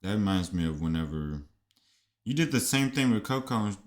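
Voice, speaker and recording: Low-voiced man with brief replies. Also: animated, moderate pace. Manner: quiet, brief reactions and short asides. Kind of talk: casual chat.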